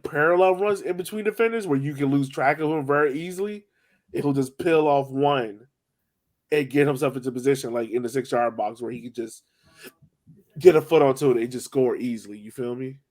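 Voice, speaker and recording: A man's voice: mid-range voice, moderate pace, darkish tone. Man chatting casually, slangy and loose. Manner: informal, slangy, filler-heavy with frequent "like". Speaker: soccer podcast co-host. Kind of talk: casual chat, sports match recap.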